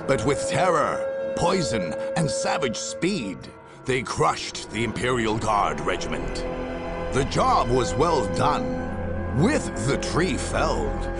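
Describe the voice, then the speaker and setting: An actor playing a grave chronicler, narrating a dark war story for a video game in a theatrical, deliberate manner. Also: male voice, medium pitch. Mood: grave, relishing. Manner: theatrical, deliberate, drawn-out key words.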